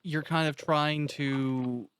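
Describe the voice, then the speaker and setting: A man's voice: on the high side, fairly even intonation, slow, a bit bright, clear, resonant tone. A man hosting a casual, conversational interview as the interviewer. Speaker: interviewer, director.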